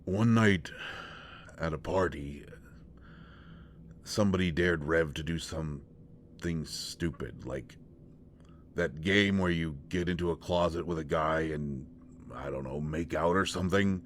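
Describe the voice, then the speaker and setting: A very low-voiced young man played by a male voice actor, shy and awkward, in an intimate roleplay audio drama. He speaks slowly and haltingly, stammering bashfully as he confides. There is faint noise behind him. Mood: bashful, reminiscing. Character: shy, awkward young male shapeshifter confessing to a close friend.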